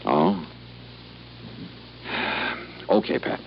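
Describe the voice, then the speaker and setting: An actor playing a wry, wary American insurance investigator in 1950s radio detective style. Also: male voice, extremely slow, dull audio, over loud music. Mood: wry, reluctant. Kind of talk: 1950s radio detective acting with first-person narration.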